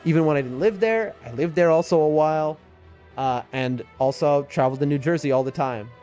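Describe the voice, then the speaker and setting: A man's voice: a little high, brisk, a little mellow. A man with a New York accent giving casual, rambling live game commentary and chatting to his viewers. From US East Coast. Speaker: game streamer. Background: music.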